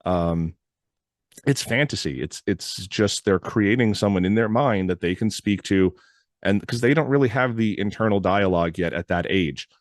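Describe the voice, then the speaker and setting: A man from New York talks through a solo podcast episode in a loose, rambling way, filling his pauses with "uh." His very deep voice sounds hoarse and froggy. The recording is close and dry, with no room sound. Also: dry recording. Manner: rambling, loose, with frequent "uh" fillers. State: hoarse, froggy from recent illness. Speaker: podcast host. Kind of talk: casual solo podcast monologue.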